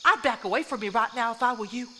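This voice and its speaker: Resolute wife at her limit, played by a woman; high, sharp, emphatic stage delivery, faint noise. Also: fast talker. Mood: indignant. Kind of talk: live stage play acting. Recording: noisy live theater stage recording.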